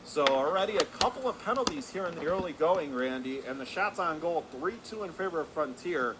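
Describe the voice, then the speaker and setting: A man's voice: fairly high pitch, lively intonation, brisk. A man calling live radio hockey play by play in clipped running phrases, his voice rising with the action. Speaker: play-by-play sports announcer. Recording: constant loud arena background noise. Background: hockey arena ambience.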